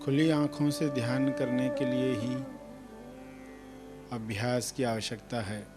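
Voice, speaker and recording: A man leading a guided meditation as a teacher, speaking deliberately in short phrases with long pauses between them. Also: medium pitch, music in the background.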